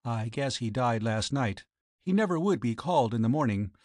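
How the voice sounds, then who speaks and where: A man voicing a formidable, expressionless old landlady who speaks quietly and tersely in an audiobook story. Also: medium pitch, expressive, normal speed, no echo, studio quality, natural voice. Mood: flat, cold. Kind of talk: audiobook character voice.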